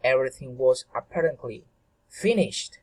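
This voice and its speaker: A man narrating a fairy tale dramatically, with halting, deliberate phrasing and occasional stumbles. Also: medium pitch, lively intonation, rough recording.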